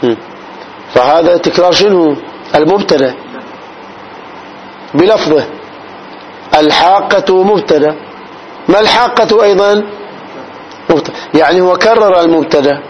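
A man giving a classical grammar lesson. He explains slowly, step by step, and often asks whether his students follow. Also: high-pitched. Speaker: Arabic grammar teacher. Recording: dull recording with faint noise.

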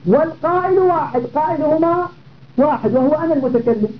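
A man's voice: very high voice, expressive, fast talker. A man teaching a classical grammar lesson. He explains methodically, repeats key phrases and stops to check that students follow. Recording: tinny phone-like audio with faint noise.

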